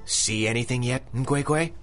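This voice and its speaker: An actor playing an arrogant, obsessive big-game hunter in a theatrical 1930s-style radio drama, with a pompous, husky delivery. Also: male voice, medium pitch, unhurried, over loud music, natural voice. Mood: intent, excited.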